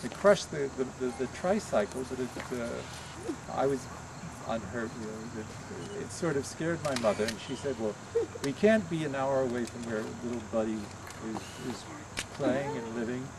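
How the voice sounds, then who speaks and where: A soft-spoken man in his eighties from Pennsylvania, reminiscing as he guides his family around outdoors, halting with many pauses and restarts. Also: medium pitch. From Pennsylvania, USA. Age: 80s. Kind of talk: reminiscing storytelling. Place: outdoors by a roadside house. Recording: outdoor camcorder with traffic noise.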